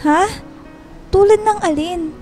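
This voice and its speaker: High-pitched timid young girl. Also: slow pace, a little mellow. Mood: hurt, questioning. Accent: Filipino. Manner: hesitant, meek and polite, pleading. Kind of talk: Filipino radio drama acting. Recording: music bed behind the voice.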